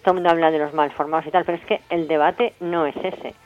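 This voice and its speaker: A woman's voice: low voice, fairly even intonation, quick pace, dull audio. A middle-aged woman with an accent from Spain explains things emphatically and colloquially in an informal radio interview, often adding checking tag questions. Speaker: interviewed guest, health professional and feminist activist.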